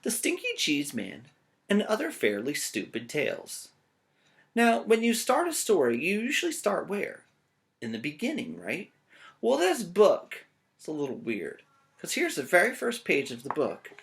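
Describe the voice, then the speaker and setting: A man's voice: high voice, huge pitch swings, a little slow, creaky. A man with a teacher's manner reading a children's story aloud, playful and often asking listeners questions.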